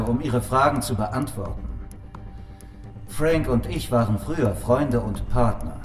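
An actor playing a cheeky, controlled private detective being questioned in an audio drama. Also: male voice, medium pitch, darkish tone, lightly acted. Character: defiant, wisecracking male private detective under interrogation. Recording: echoey room, faint music. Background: faint music.

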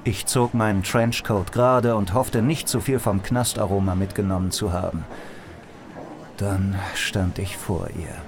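A man's voice: fairly low pitch, steady tone, a little slow, crowd noise. An actor playing a dry, wry, down-on-his-luck detective who narrates and talks in a noir audio drama. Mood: wry, weary. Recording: music playing behind the voice.

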